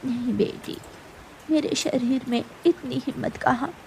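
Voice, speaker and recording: A woman's voice: high-pitched, a little slow, gravelly, darkish tone, slight background noise. Woman voicing a frail, loving old grandmother bird. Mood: weary. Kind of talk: children's cartoon story acting.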